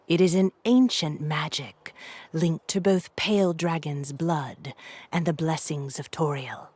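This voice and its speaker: An actor, a woman, playing a solemn clan elder and lorekeeper in a fantasy game, speaking in grave, measured storytelling. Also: deep voice, a little mellow, husky, full character voice. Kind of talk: video game cutscene acting.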